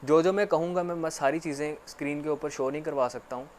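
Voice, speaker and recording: A man giving a casual spoken English lesson, repeating himself often and filling pauses with "actually". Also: on the high side, slight background noise. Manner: repetitive, filler-heavy explaining with frequent "actually". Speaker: English teacher.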